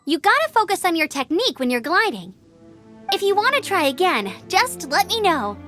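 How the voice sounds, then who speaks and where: Game casting: eager young scout heroine, very high bright voice, earnest and encouraging. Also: female voice, sing-song, normal speed, faint music behind, full character voice. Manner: earnest, upbeat, slightly flustered. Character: perky young female glider-champion scout, earnest and encouraging. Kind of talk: video game character acting.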